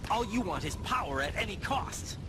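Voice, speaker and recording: An actor playing an earnest, defiant young soldier in a dramatic video game cutscene. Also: male voice, high-pitched, big pitch swings, normal speed. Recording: loud background noise.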